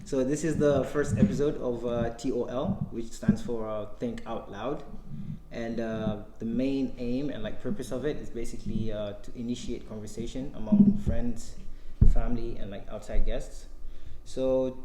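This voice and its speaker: A man in his twenties from Guinea, hosting a casual chat among friends, relaxed and hesitant with lots of fillers. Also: mid-range voice, moderate pace, a crowd in the background. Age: 20s. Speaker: host. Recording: echoey room with faint noise.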